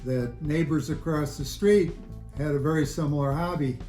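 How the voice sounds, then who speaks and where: An older man chatting casually in an interview as a hobbyist, with a halting, hesitant delivery. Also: fairly high pitch, dark tone. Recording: roomy echo, faint music.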